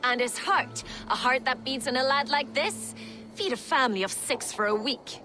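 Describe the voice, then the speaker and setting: An actress as a taunting raider woman in a Viking game, fairly high in voice. She toys with her prey with drawling menace and dark humour, over clear background music. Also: moderate pace, close to an everyday voice. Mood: gleefully menacing. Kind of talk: game voice acting.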